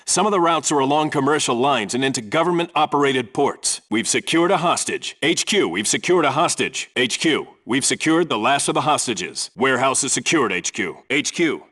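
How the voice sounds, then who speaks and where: A man's voice: medium pitch, expressive, normal speed, hardly any pauses, fairly bright tone. A man playing a no-nonsense special forces team leader in a video game, reporting in a clipped, businesslike, commanding way. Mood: focused, businesslike. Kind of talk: scripted radio call-outs, video game military acting.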